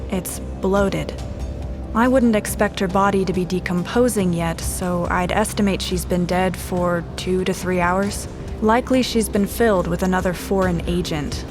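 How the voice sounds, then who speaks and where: An actress playing a sharp, composed special agent in an audio drama, speaking in terse, clinical fragments like a profiler examining a scene. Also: female voice, mid-range voice, natural voice. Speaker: investigator. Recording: music behind the dialogue.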